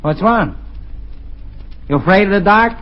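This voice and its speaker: A man's voice: high-pitched, animated, measured pace, a bit bright. A man playing a curt, commanding kidnapper gang boss in an old radio drama. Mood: menacing. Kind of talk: 1940s radio acting. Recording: old dull lo-fi radio recording.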